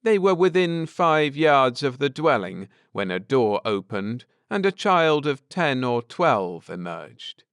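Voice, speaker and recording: Slow, smooth, expressive male audiobook narrator. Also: medium pitch, no room sound, studio quality. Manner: measured, expressive storytelling. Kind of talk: scripted bedtime story narration, read aloud.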